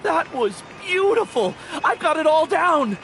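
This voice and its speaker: A man voicing an eager, polite messenger in a video game, hurried and admiring. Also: extremely high pitch, big pitch swings, fairly bright tone, some chatter behind, slight background noise, heavily acted, rough recording. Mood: thrilled.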